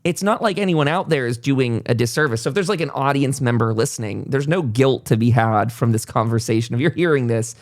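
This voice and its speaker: A man's voice: medium pitch, a little fast, few pauses. A man hosting a casual interview podcast, talking at length in a reflective, conversational way. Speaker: podcast host.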